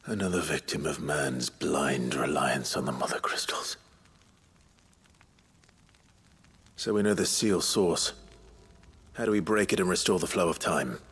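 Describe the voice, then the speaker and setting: An actor playing a stern, resolute warrior hero with a husky rasp and a formal, deliberate delivery in a fantasy game. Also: male voice, extremely low pitch, a bit bright, slight background noise. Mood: brooding. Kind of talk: dramatic fantasy game dialogue.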